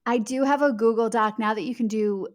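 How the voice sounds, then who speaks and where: A woman's voice: fairly high pitch, fairly even intonation, fairly quick. A middle-aged woman speaking as a guest author in a podcast interview, explaining things in an emphatic, conversational way.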